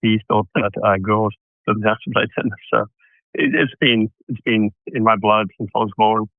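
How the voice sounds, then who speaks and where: Low-voiced man on a tinny line. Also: flat delivery, normal speed, dry recording. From South Africa. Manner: rambling storyteller with restarts. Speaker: podcast guest, tennis coach. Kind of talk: casual interview answers. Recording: tinny phone-like line, dry.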